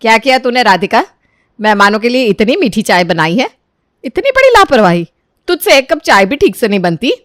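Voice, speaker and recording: A woman acts out a domineering middle-aged mother-in-law from a cartoon tale. She nags and accuses in a sharp, theatrical way, pushing her voice up, with a close, dry sound. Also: fairly high pitch, sing-song, a little fast, dry recording. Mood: angry, accusing. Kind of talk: cartoon moral-story voice acting.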